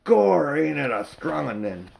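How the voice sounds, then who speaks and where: A man's voice: on the high side, sing-song, measured pace, vocal fry, a little mellow, full character voice. A man doing a cheeky London street onlooker. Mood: mock admiring. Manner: jeering, slangy.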